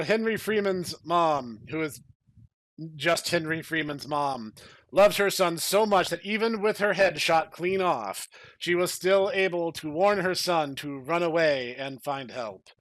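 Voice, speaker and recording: A man with a fairly high voice chats as a podcast co-host. He gives mock-earnest, emphatic commentary, stopping and restarting for effect. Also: a little slow, a bit bright, dry recording, low-quality recording. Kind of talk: casual banter with mock-earnest praise.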